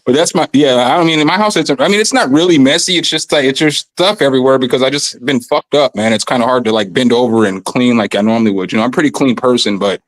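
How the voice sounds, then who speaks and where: A man with a raspy voice chatting casually and loosely from his home studio, full of slang and fillers. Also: medium pitch, quick pace, hardly any pauses, no echo, poor audio. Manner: laid-back, slangy, rambling with fillers. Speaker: guest musician on a video call. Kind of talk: casual chat. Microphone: condenser studio mic.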